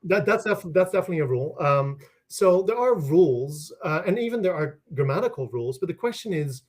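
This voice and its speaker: A man's voice: a little high, expressive, brisk. A man from the Netherlands, a native Dutch speaker, explains as a guest scholar in an academic conversation, restarting phrases and checking in with "right?".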